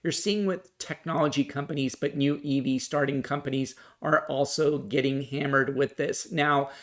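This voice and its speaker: A man's voice: mid-range voice, fairly even intonation, normal speed, fairly bright tone. A man hosting a stock market video, explaining and commenting in an earnest, emphatic way that circles back to key points. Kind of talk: explanatory financial commentary to viewers.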